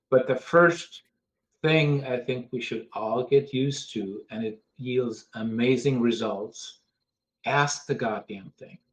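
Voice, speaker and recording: Male panelist, mid pitch, slow delivery, frank and emphatic. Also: rough recording. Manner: blunt, emphatic, frank advice with pauses and ums. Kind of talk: panel discussion, advice.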